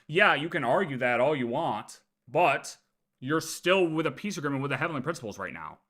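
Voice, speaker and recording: A man's voice: on the high side, expressive, fairly quick, fairly bright tone. A man doing casual live commentary as a streamer, arguing emphatically and repeating his points. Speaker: livestream host reacting to game lore.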